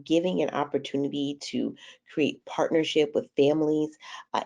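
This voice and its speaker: A woman's voice: deep voice, dark tone. A woman in her thirties with a stuffy nose from a cold, speaking articulately as a guest educator on a video call. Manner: articulate, measured, with fillers. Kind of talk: webinar interview.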